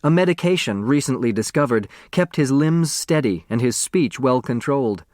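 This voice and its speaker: Male audiobook narrator, mid-pitched, expressive storybook read, very dry sound. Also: moderate pace, no room sound.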